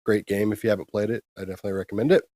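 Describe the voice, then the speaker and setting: A man's voice: on the low side, fairly even intonation, very fast, warm tone, dry recording, clean studio sound. A man co-hosting a casual news show, explaining things informally and giving his opinions. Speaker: co-host of a gaming news show.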